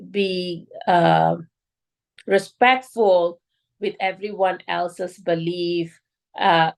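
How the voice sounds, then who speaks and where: Woman, interview guest raised in Malaysia; lowish pitch, earnest, filler-heavy storytelling. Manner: earnest, many fillers and restarts.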